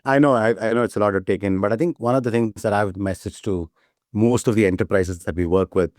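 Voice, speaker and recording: A man with a low, mellow voice talks eagerly as a company founder being interviewed. He often ends his points with a quick "right?". The sound is close and dry. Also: no room sound. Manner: enthusiastic, repeats "right?" as a tag. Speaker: startup CEO, guest. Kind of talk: casual interview talk.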